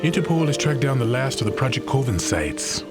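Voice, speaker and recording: A man's voice: mid-range voice, sing-song, a little fast, natural voice. A man playing a businesslike agency aide who delivers a briefing in a video game cutscene. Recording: loud music behind the voice.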